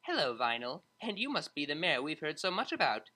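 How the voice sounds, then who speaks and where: Narrator playing a friendly older schoolteacher mare for an audiobook: chatty, kindly, animated. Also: medium pitch, a little fast. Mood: friendly, joking. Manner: kindly, gently joking, chatty.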